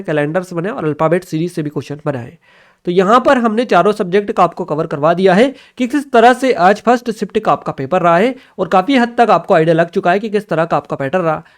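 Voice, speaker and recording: A man with a distinctly high-pitched voice teaches an exam review. He presents questions and their answers in an explanatory, instructional style. He leans on repeated set phrases and checks that listeners are following. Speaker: exam-prep teacher and channel host.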